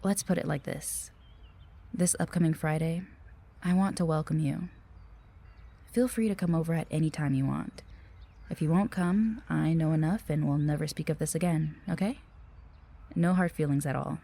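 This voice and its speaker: A woman plays an earnest office receptionist in an audio drama. Her voice is low and mellow, and she speaks politely and conversationally, with a careful, hesitant manner. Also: moderate pace, gravelly, pauses often, husky, heavily acted. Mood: hesitant, secretive. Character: friendly, earnest, cautious female office receptionist. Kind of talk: audio roleplay acting.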